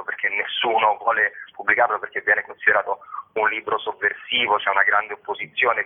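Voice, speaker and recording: Man on a narrow phone-like line. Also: lowish voice. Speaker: theatre curator and actor being interviewed. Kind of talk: spoken interview answers. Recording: band-limited phone line or radio sound.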